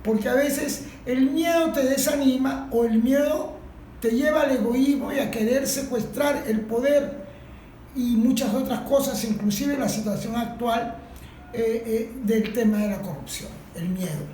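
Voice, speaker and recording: Slow-spoken Venezuelan woman in echoey room. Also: fairly high pitch, dark tone. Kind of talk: spontaneous political discussion. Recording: echoey room, faint background noise.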